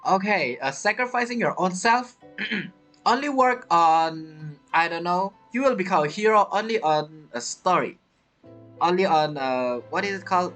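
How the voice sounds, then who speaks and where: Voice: mid-range voice, animated, moderate pace, a bit bright, faint music behind. A game streamer giving casual, reactive let's-play commentary in a chatty way, often repeating phrases.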